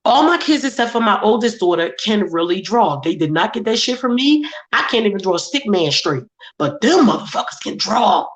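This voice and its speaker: A woman hosting a live chat show, a little hoarse, telling stories in a brassy, profane way. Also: mid-range voice, expressive, a little fast, reverberant. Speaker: host.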